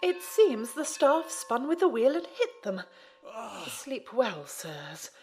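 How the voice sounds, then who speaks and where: A woman playing a serene, devout warrior nun, polite, formal and gently superior, in an audio drama. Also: fairly high pitch, big pitch swings, takes it slowly, faint music behind. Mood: politely unruffled.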